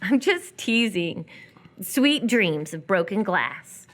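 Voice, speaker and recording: A woman's voice: mid-range voice, sing-song, slow, a bit bright. An actress in live radio theatre playing a sardonic, teasing young runaway woman. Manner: teasing, wry.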